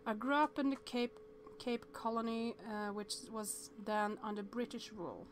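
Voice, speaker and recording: A woman's voice: fairly high pitch, moderate pace, slight background noise. Woman streamer reading a game aloud. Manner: stumbles over words, chatty asides to viewers.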